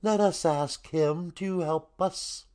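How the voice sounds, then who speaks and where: A man's voice: fairly high pitch, slow, darkish tone, clear, resonant tone. A man playing a cheerful, hopeful little toy clown in a children's storybook reading, eager and encouraging.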